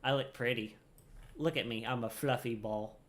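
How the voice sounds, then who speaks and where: A man's voice: mid-range voice, fairly even intonation, moderate pace. A man giving casual, rambling livestream commentary over a game in a playful, chatty way. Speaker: game streamer.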